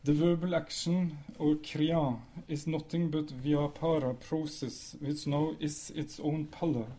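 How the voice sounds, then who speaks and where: Soft-toned man giving a measured lecture. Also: fairly high pitch, level tone. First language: Norwegian. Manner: measured, explanatory, with pauses and fillers. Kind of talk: academic lecture.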